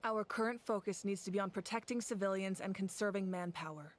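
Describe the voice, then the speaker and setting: An actress playing a weary, resolute woman war leader in a video game, measured and commanding as she gives formal orders. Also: mid-range voice, a little hiss or hum, close to an everyday voice. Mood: grave, resolute.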